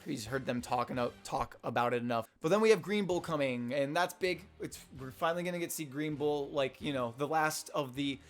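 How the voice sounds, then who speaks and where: A young man with a slightly hoarse voice, rambling casually through a manga chapter review with lots of fillers and restarts. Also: a little high, brisk, few pauses. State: slightly hoarse, losing his voice. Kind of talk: casual unscripted commentary.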